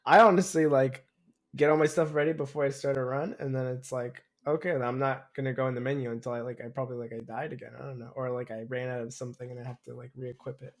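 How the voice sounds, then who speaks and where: Give a young man with a mid-pitched voice. He talks as a podcast co-host in loose, casual chat. He rambles and hesitates, circling back and softening what he says. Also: fairly even intonation, fast.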